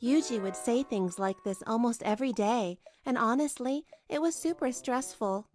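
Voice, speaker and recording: A high-pitched, earnest young wife voiced by a woman narrates a manga drama. She sounds put-upon and anxious, and music plays behind her. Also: moderate pace, darkish tone, lightly acted. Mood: weary, aggrieved. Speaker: narrator and lead of a manga dub. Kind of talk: dramatised first-person story narration.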